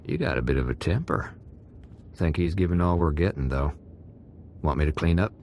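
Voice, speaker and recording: A man playing a tough, laconic, suspicious prison gang convict in a game, gruff, clipped and wry. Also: rumbling low, animated, normal speed, a little mellow, slight background noise. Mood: wry, casual. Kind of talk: game cutscene voice acting.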